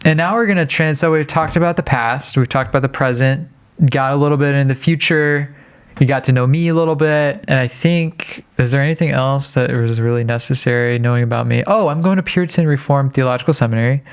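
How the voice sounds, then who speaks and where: Male podcast host, medium pitch, informal and jokey, conversational delivery. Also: a little fast, smooth. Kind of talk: casual chat.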